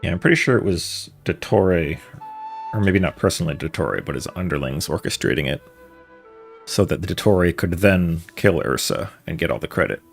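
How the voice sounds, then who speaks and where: A man's voice: low voice, expressive, normal speed, vocal fry. A man streaming a game, chatting casually and reacting aloud to the story. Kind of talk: live game commentary. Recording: stream with game music behind.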